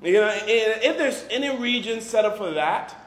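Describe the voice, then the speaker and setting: A man's voice: high-pitched, expressive, normal speed, fairly bright tone. A man in his twenties or thirties from Liberia, preaching informally as a campus minister in a conversational, joking way. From Liberia, moved to Boston at thirteen. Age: 20s or 30s. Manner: conversational, joking, peppered with "you know" and "right". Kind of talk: informal sermon to a live congregation. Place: large room before a congregation, some ambient noise. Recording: echoey room, faint background noise.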